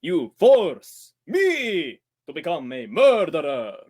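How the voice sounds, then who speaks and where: A man playing a laid-back radio actor who rehearses villain lines in an old-time radio drama, deliberate and theatrical. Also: on the high side, huge pitch swings, breathy edge, heavily acted. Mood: theatrical menace.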